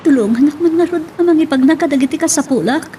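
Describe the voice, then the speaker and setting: A woman's voice: high voice, quick pace, dark tone, a little hiss or hum, lightly acted. A woman playing a plaintive, guilt-ridden pregnant migrant worker in an audio drama. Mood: remorseful.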